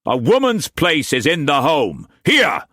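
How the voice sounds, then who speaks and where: A man's voice: a little high, wildly swooping pitch, unhurried, sharp tone, no echo, clean studio sound, voice pushed up, heavily acted. A man voicing a furious, domineering master who barks harsh commands at his household. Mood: stern, cold.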